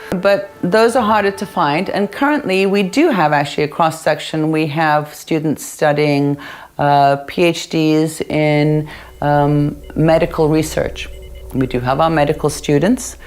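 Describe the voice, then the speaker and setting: A woman's voice: deep voice, darkish tone. A woman speaking as a representative of an education organization, explaining its work in interview style.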